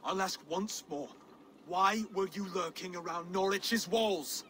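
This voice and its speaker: A man's voice: high-pitched, unhurried, a bit bright, slight background noise. An actor playing an earnest, principled young Saxon king in a video game, speaking formally and with resolve. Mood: stern. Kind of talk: dramatic video game acting.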